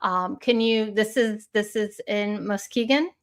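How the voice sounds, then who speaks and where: A woman of middle pitch hosts a live discussion over a video call. She keeps things chatty, nudging her guest along with short, loosely worded questions. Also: a little slow. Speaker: host. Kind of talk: live true crime discussion.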